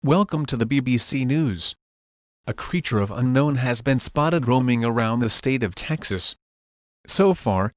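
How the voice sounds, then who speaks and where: A man's voice: medium pitch, dry recording. A man reading a scripted news bulletin as a broadcaster, with informal, casual asides. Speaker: news broadcaster in an animated emergency-alert story. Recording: music behind the voice. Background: prominent music.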